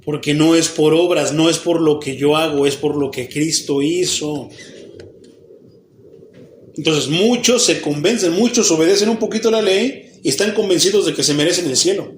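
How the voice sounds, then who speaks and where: Mexican pastor in an echoey church hall. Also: male voice, on the high side, moderate pace, slight background noise. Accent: Mexican Spanish. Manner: asks the congregation questions and answers them himself; acts out the people he quotes, even mock babbling. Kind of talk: Bible study sermon.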